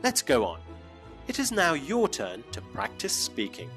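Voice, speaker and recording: A man's voice: on the high side, huge pitch swings, a bit bright. A male English teacher narrating a scripted lesson, modeling each phrase carefully so learners can repeat it. His intonation rises and falls very expressively. Faint music plays underneath. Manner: slow, clearly modeled phrases for repetition.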